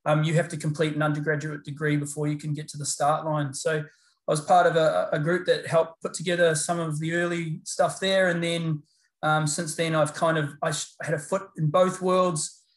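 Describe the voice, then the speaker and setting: A middle-aged man with a New Zealand accent, chatting as an interview guest in a relaxed, rambling way full of fillers and restarts. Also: on the high side, normal speed. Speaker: guest, paramedicine lecturer. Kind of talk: casual interview chat. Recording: roomy echo.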